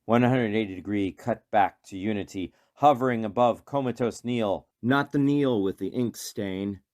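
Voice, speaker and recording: A man's voice: on the low side, moderate pace, a little mellow. A man reading scripted narration for a radio play in a dramatic storytelling manner.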